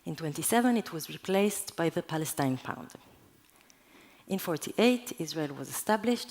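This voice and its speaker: Hebrew-native woman in her twenties or thirties, lower pitch, earnest lecturing delivery. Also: darkish tone. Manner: earnest, explanatory, with occasional restarts. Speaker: conference speaker. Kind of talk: conference talk presentation. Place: conference hall.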